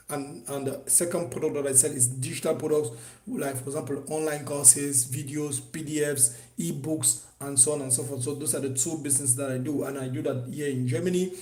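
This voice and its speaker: Animated man from Cameroon, echoey room. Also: on the high side. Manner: animated, repetitive, frequent restarts and fillers. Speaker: online business course instructor. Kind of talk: unscripted course presentation.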